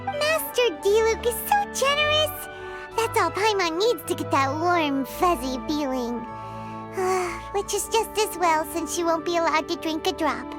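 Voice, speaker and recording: A woman's voice: animated, unhurried. A woman voicing a tiny, cheeky female fairy companion in a video game, childlike and perky. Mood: chatty, wry. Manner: squeaky, perky, childlike, speaks of herself in third person. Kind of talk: scripted video game voice acting. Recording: background music under dialogue.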